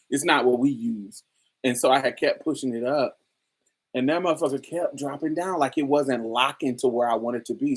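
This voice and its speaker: A woman hosting a casual chat, telling stories in a lively way with frequent laughter and free swearing. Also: a bass voice, husky. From New Orleans, USA. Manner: animated storytelling, frequent laughter, swears freely. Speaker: host. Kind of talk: casual livestream chat with viewers.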